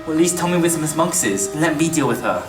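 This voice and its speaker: A woman playing a kindly, well-to-do older gentleman in a staged radio play, formal and full of concern. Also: deep voice, lively intonation, quick pace, over music. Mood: shocked, resolute. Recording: echoey stage recording from far off.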